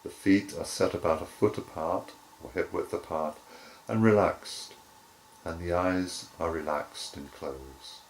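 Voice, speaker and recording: A man teaching guided relaxation in measured, deliberate phrases with short pauses. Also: sits low, vocal fry, slight background noise, low-quality recording. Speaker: yoga nidra teacher.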